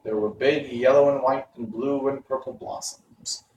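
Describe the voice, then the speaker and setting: A man's voice: medium pitch, steady tone, moderate pace, darkish tone. A man narrating a storybook, reading aloud. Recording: roomy, distant mic, faint noise.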